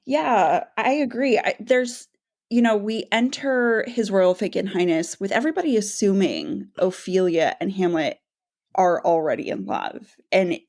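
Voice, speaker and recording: A woman's voice: mid-range voice, expressive, a little slow, a little mellow. A woman co-hosting a casual discussion, agreeable and articulate in full, flowing sentences. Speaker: podcast co-host.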